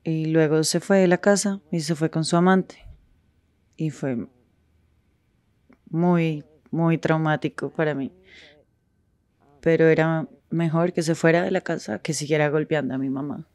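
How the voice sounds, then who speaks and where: A woman speaking frankly in a street interview, with halting pauses and restarts. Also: deep voice, fairly even intonation, a little mellow, no room sound.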